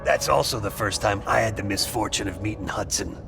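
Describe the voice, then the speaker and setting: An actor playing a brash, foul-mouthed, cocky covert operative in a video game, with a gravelly voice and a swaggering, teasing way of talking. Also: male voice, on the low side, a little fast, over music. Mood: mocking, boastful. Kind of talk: video game character dialogue.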